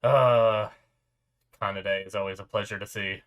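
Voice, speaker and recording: A man's voice: fairly low pitch, fairly even intonation, a little slow, fairly bright tone, clear, resonant tone. Male reaction host, sick and congested, sore throat, rambling casual delivery with restarts. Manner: rambling, frequent filler restarts. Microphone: desk mic with noise filter.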